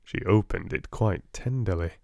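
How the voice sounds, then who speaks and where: A soft-spoken man with a British accent, gently and expressively reading a storybook as an audiobook narrator. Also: deep voice, moderate pace, vocal fry, no room sound, clean studio sound.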